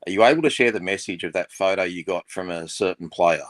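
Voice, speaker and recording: A man's voice: deep voice, fast talker, no echo. A man co-hosting a fan podcast, teasing and rallying the listeners with fired-up energy. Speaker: podcast co-host. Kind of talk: casual fan podcast chat.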